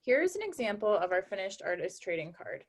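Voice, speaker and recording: A woman's voice: mid-range voice, very animated, brisk. A young woman presenting a workshop, cheerfully explaining each craft step as she works. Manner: upbeat, explains steps while working. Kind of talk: instructional craft demonstration.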